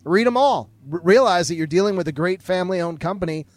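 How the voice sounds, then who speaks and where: Higher-voiced guy rattling off ad reads, dry close mic. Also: lively intonation, no echo. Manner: brisk salesy delivery. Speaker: co-host reading sponsor ads. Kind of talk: sports radio banter with live reads.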